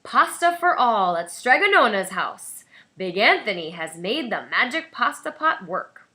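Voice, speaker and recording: A woman voicing an eager, boastful young village man in a storybook, proclaiming loudly and excitedly. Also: a little high, unhurried, exaggerated voice.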